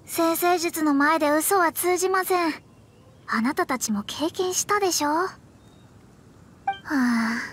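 High-pitched, theatrical young astrologer girl. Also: a bit bright, a little hiss or hum. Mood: despairing, exasperated. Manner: formal polite speech, theatrical. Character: proud, dramatic young female astrologer, easily flustered. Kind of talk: Japanese anime game acting. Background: background music.

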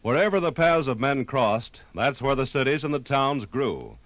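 A man narrating a scripted 1950s radio documentary in a measured, solemn storytelling manner. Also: mid-range voice, sing-song. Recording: 1950s vinyl radio recording, music underneath.

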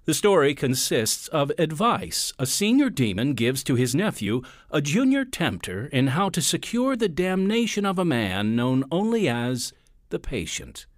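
A man's voice: on the high side, very animated, measured pace, no room sound, clean studio sound. A man narrating a scripted radio drama as announcer, polished and emphatic. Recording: music under the voice.

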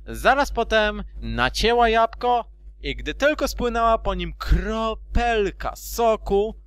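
A man's voice: sits high, fairly bright tone, clean tone. A man narrating a storybook in an amateur fan dub, theatrically and with loose asides.

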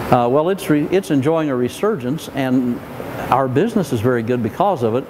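Older American male, mid-range voice, plain-spoken factory guide explaining step by step over faint ventilation noise. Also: medium pitch. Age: 60s or 70s. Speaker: company president and factory guide. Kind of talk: explanatory factory tour talk. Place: large indoor industrial factory hall.

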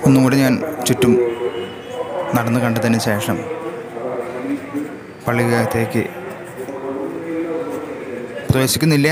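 A man with a Malayali accent narrating a travel vlog slowly and in measured, short separated phrases. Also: mid-range voice, fairly even intonation, a loud crowd, rough recording. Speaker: vlogger. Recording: loud music over the voice. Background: loud music.

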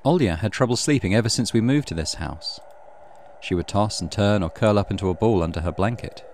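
A man reading a horror visual novel aloud as dramatic, measured narration. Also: fairly low pitch, darkish tone, slight background noise. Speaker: gaming channel host reading visual novel. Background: music.

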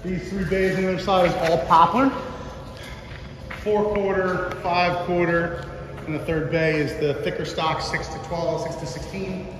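Male mill guide, high pitch, informal walking-tour delivery, noisy machinery hum behind. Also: fairly even intonation, normal speed. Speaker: mill worker giving a tour. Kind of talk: casual explanatory walking tour. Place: large woodworking mill and lumber warehouse.

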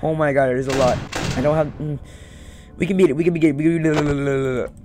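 A man's voice: fairly high pitch, fairly even intonation, brisk, background noise. A young man giving casual let's-play commentary, muttering and repeating his phrases. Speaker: gaming video host. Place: small room indoors. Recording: loud game audio over voice. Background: loud game music.

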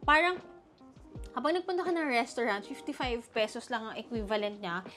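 A young woman from the Philippines chats through her travel spending in a chatty, animated vlog style. Her voice sits a little high, and faint music plays beneath her. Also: normal speed. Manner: chatty, animated, mixing Tagalog and English. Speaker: travel vlogger. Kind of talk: casual vlog chat with budget talk.

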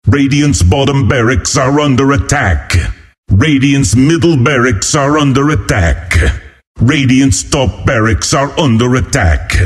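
Boisterous walrus-man, video game announcer, hearty, unhurried punchy calls, lively intonation. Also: medium pitch.

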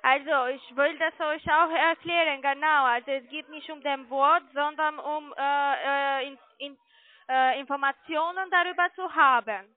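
A woman with a foreign accent, not a native speaker, making a halting, insistent sales call over the phone. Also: sits high, fairly even intonation, no room sound. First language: not German. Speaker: call centre caller selling investments. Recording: tinny phone line.

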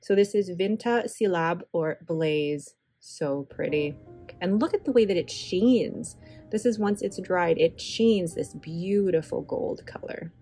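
A woman talks through a casual voice-over with chatty enthusiasm and rambling asides. Her voice sits fairly low. Soft music plays faintly behind her. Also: a little slow, husky. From Filipino heritage. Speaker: stationery channel host. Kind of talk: casual voiceover while swatching inks. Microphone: voice-over recorded separately.

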